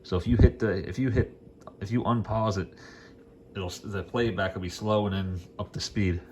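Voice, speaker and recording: A man's voice: on the low side, fairly even intonation, moderate pace, a little mellow, slight background noise. A man giving a casual, unscripted demo as a hi-fi hobbyist, rambling along in an informal, enthusiastic way. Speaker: vintage hi-fi hobbyist demonstrating gear.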